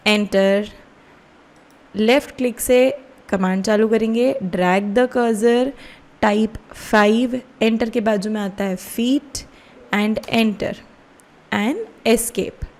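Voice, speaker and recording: A woman with an Indian accent giving a step-by-step software tutorial as an instructor, explaining casually and often checking in with questions. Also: on the high side, drawn-out words.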